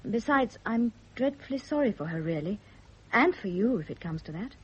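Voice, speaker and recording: Breathy, besotted young British mistress. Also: female voice, medium pitch, animated, normal speed. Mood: adoring. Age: young adult. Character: besotted young mistress, girlish, impulsive, needy and jealous. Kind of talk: 1970s radio drama acting. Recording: muffled vintage radio drama recording.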